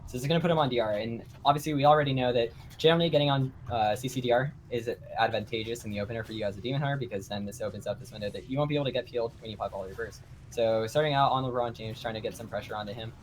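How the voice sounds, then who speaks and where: A man co-casting a live esports stream, giving quick analytical play-by-play. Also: mid-range voice, slight background noise, poor audio. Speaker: esports co-caster.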